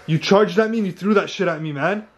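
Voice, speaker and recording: A man's voice: high-pitched, quick pace. A young man filming his friend, reacting with alarmed, urgent chatter and calling everyone "bro". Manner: alarmed, urgent, repeats "bro" and "chill" constantly. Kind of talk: casual reactive commentary.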